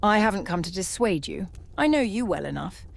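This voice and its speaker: A woman's voice: medium pitch, very animated, a little fast, vocal fry. A woman playing a solemn, knowing immortal lioness in a fantasy audio drama, speaking with portentous gravity. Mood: grave warning.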